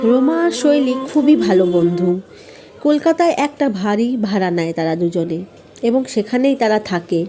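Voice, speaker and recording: A woman's voice: mid-range voice, lively intonation, normal speed, mellow. A woman narrating a scripted story as the storyteller. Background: music.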